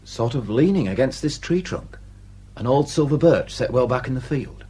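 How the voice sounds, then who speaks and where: Male actor as village police sergeant, Northern English, deferential, plain-spoken, medium pitch, faint hum. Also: animated, moderate pace, mellow, close to an everyday voice. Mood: grim, hushed. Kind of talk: naturalistic radio drama acting.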